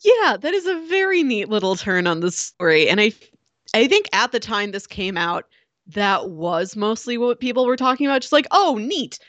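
A woman's voice: on the high side, sing-song, normal speed, fairly bright tone, dry recording. A woman co-hosting a podcast, chatting casually as she reviews a film. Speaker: podcast co-host.

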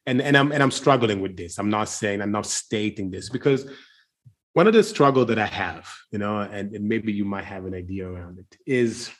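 Searching, halting man in reflective conversation. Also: fairly low pitch, a bit bright. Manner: searching, halting, circling around his point.

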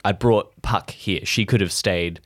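A man playing a guilt-ridden, introspective friend in an improvised audio drama, opening up in halting, repeated phrases. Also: lowish voice, fairly bright tone, no room sound, studio quality. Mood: guilty, burdened.

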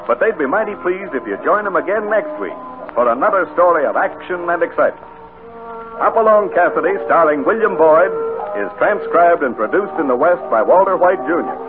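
Old radio announcer guy, scripted, kinda high, tinny recording w music under. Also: lively intonation, a little fast. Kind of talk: 1940s scripted sign-off announcing.

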